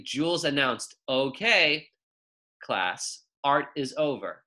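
Animated young man reading aloud, bright voice. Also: mid-range voice. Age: young adult. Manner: animated, emphatic, with drawn-out key words. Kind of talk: expressive children's read-aloud with brief teaching asides.

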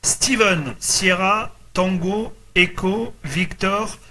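A man's voice: sits high, very slow, background noise. A man chatting casually as a radio operator, often repeating himself. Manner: repeats himself, tag words. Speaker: amateur radio operator running a group contact.

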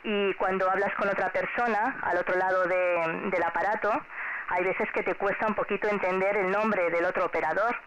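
A woman's voice: medium pitch, steady tone, normal speed. A woman with an accent from Spain talking courteously and at length as an amateur radio operator, heard over shortwave radio. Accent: Spanish from Spain. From Galicia, Spain. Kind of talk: ham radio contact, friendly chat with callsigns. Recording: noisy, dull shortwave radio reception.

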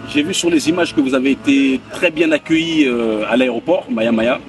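A man's voice: mid-range voice, sing-song, normal speed, gravelly, far from the mic, over music. A young man asking polite, formal interview questions as the interviewer, speaking outdoors. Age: young adult. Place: outdoors by a hotel pool.

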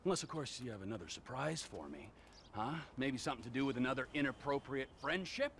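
A man playing a menacing, mocking, unhinged middle-aged criminal in a video game, with a gravelly, taunting delivery. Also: medium pitch, very animated, fairly bright tone, slight background noise. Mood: mock concern. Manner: taunting, drawn-out, volatile. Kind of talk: cinematic game acting.

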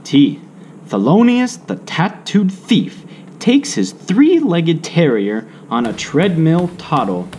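A man narrating a children's alphabet picture book aloud, in deliberate, separated phrases with drawn-out words. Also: fairly high pitch, sing-song, a little mellow. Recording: faint background noise.

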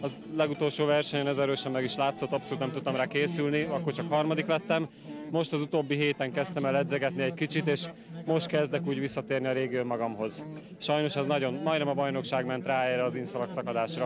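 A young man speaking into a handheld mic at an outdoor race, earnest and a little halting. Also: medium pitch, steady tone, a crowd in the background. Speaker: athlete interviewee. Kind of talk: outdoor interview.

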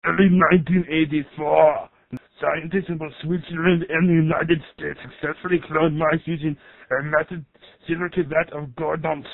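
A man playing an excitable, easily irritated doctor who lectures on science in a comedy skit, over a thin recording. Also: fairly high pitch, moderate pace, gravelly, phone-quality sound. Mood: lecturing. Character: excitable, easily irritated male doctor explaining cloning with mock-authority. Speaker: doctor character giving science explanations. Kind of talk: amateur comedy skit acting with scripted explanation. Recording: thin, band-limited, dry radio-like sound.

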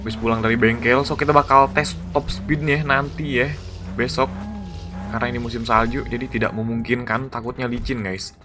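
A man giving excited, slangy gameplay commentary as a gaming YouTuber. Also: mid-range voice, a little fast, noisy background. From Indonesia. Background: background music.

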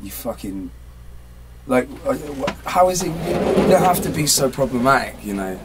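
A guest musician from England in his thirties rambles through jokes and stories, swearing cheerfully. His voice sits at an ordinary pitch for a man, and clear background noise runs under him in a small studio. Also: sing-song, normal speed. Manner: playful, profane, rambling. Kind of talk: casual studio banter. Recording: noisy room recording.

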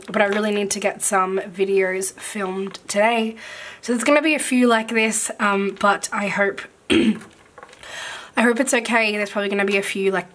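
A woman with a croaky voice that is still recovering, chatting casually and full of fillers as she sorts stickers at a desk for an overhead camera. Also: mid-range voice, fairly bright tone, slight background noise. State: croaky, recovering from losing her voice. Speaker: planner vlogger. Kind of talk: casual haul chat while sorting stickers. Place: at a desk indoors, filming hands from above.

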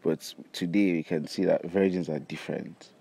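A man's voice: low voice, fairly even intonation, normal speed, creaky, warm tone, husky. A young man with a Nigerian accent giving casual answers in an outdoor interview. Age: young adult. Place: outdoors by campus buildings. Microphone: handheld device held by interviewer. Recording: faint outdoor background noise.

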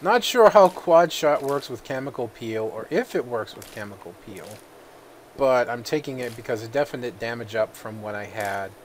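A man's voice: medium pitch, normal speed, a little hiss or hum. Man narrating gameplay while a little sick. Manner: relaxed, thinking aloud with many fillers. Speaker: gaming video host. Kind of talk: casual let's-play commentary.

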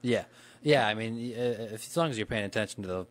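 A man with a fairly low voice chats informally. He sounds like a podcast partner asking questions and adding his own anecdotes in loose, colloquial phrasing. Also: fast. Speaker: podcast conversation partner, interviewer. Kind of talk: casual chat.

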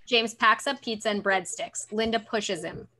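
A woman's voice: medium pitch, normal speed, bright, forceful tone. A young woman reading stage directions as scripted narration over a video call. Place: room at home.

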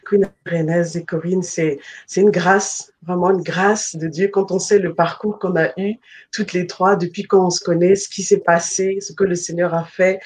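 A woman's voice: fairly low pitch, darkish tone, husky. A middle-aged woman from the Congo, a life coach, introducing herself on a video call in an earnest, faith-filled testimony. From Republic of the Congo. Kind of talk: guest self-introduction. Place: home room, video call. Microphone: webcam mic on video call.

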